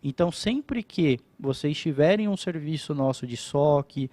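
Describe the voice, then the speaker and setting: A man's voice: a little high, expressive, measured pace, dark tone, no room sound. A man presenting his company's cybersecurity services at an event and addressing the audience in a casual, explanatory way. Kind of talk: corporate presentation. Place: event stage at company office.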